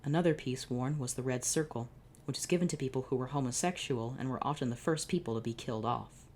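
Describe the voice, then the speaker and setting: A woman voicing a museum information computer in a flat, read-out delivery. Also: rumbling low, fast talker, slight background noise.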